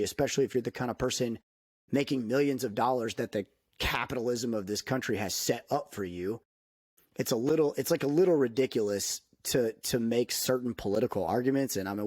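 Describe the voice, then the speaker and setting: A man co-hosting a podcast, talking in an opinionated, emphatic way that jumps up in pitch when he gets worked up. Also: darkish tone, no echo, studio quality. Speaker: podcast co-host. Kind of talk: casual chat.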